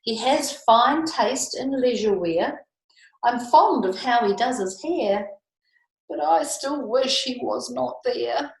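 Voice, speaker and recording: A woman's voice: on the high side, expressive, takes it slowly, vocal fry, roomy sound, heavily acted, poor audio. A woman voicing a small grumpy mouse from a storybook, complaining plaintively in rhyme. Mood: grudging. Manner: rhyming, plaintive complaint, drawn out. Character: small grumpy mouse whose chair is taken by bear.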